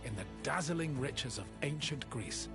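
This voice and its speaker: A man voicing a solemn male narrator who introduces a historical tour in measured, formal, inviting scripted narration. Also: mid-range voice, animated. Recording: loud game music behind.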